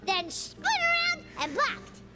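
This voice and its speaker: A woman voicing a small, excitable, childlike fairy companion in an anime video game, chirpy and boastful. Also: extremely high pitch, slow, loud music behind the voice, heavily acted. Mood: excited, boastful. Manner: chirpy, boastful, refers to self in third person.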